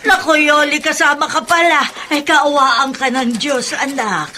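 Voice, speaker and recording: A woman playing a warm, fussing elderly grandmother from the province in a Filipino radio drama. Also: high-pitched, steady tone, measured pace, a little hiss or hum. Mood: welcoming, delighted.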